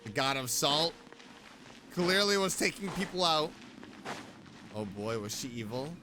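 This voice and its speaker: A man with a somewhat high voice commentates on a video game. His talk is casual and reactive, in an informal streamer style. Game music plays clearly behind him. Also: animated, measured pace.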